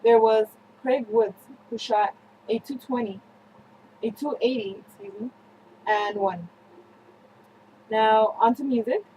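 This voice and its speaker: A young woman presenting a scripted student newscast, reading haltingly with slips and self-corrections. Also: a little high, warm tone, highs cut off, a little hiss or hum. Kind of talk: scripted news-style narration for a history project.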